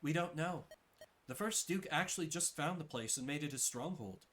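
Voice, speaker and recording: A man's voice: on the high side, animated, moderate pace, fairly bright tone. A man voicing an earnest, troubled duke in a fantasy game. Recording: game music behind the voice.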